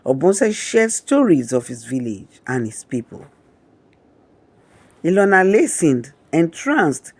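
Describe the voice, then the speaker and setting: Male narrator, slightly high pitch, scripted folktale storytelling, measured dramatic delivery, dry close sound. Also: no room sound.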